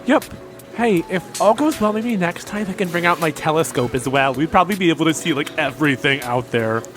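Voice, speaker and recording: Young male sidekick actor: casual, hesitant, higher register, audio drama. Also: fairly quick, a bit bright, faint music behind, lightly acted. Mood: upbeat. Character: nervous, easygoing young man, stargazing hobbyist.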